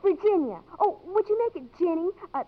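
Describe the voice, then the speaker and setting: An actress playing a shy, polite, admiring college student in 1950s American radio drama style. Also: female voice, very high-pitched, lively intonation, a little fast. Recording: thin, phone-like 1950s radio recording.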